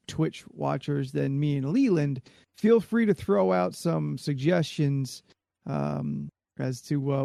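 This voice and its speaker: A man's voice: a little high, creaky, a little mellow, dry recording, clean studio sound. A man co-hosting a podcast, talking in a rambling way with lots of fillers. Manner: rambling, hesitant, filler-heavy. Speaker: podcast co-host. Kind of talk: casual chat on a live stream.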